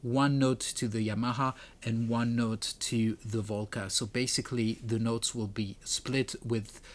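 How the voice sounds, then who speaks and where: A man's voice: medium pitch, steady tone, unhurried. A man presenting a music gear tutorial in a casual, explanatory way. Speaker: presenter demonstrating a music pedal. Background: keyboard and synth demo notes.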